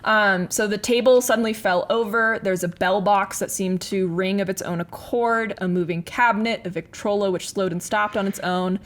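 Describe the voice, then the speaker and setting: A woman's voice: medium pitch, steady tone, moderate pace, few pauses. A woman telling a story as a podcast host in a casual, conversational way, with informal, slangy phrasing.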